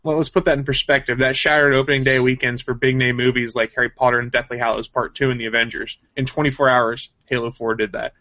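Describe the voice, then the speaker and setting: A man with a medium-pitched voice co-hosts an informal geek news stream. He talks like a keen fan, chatty and full of opinions, in an unscripted conversational way. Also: little pitch movement, fast, hardly any pauses, bright, forceful tone. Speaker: show co-host. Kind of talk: casual news chat on a gaming and comics stream.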